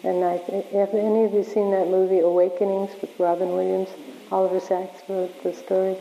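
A woman leading a workshop, answering questions in a halting way with many restarts, her voice wearing thin. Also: medium pitch, flat delivery. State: losing her voice. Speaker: workshop leader. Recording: echoey hall, dull lo-fi sound.

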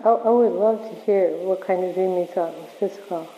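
A woman leading a workshop, answering questions in a halting way with many restarts, her voice wearing thin. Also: lowish voice. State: losing her voice. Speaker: workshop leader. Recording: echoey hall, dull lo-fi sound.

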